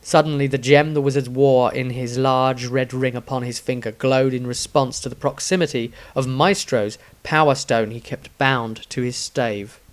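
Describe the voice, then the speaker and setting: A man's voice: medium pitch, a bit bright. Man narrating a fantasy story. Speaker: author and narrator.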